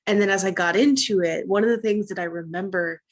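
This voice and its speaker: A woman's voice: mid-range voice, fairly quick. A woman who acts on stage, talking casually and reflectively in a panel conversation, sharing her experiences.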